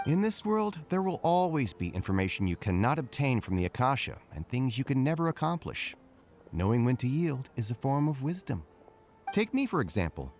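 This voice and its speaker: A man voicing an easygoing, self-deprecating academy scholar in a video game, chatty and wry. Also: mid-range voice.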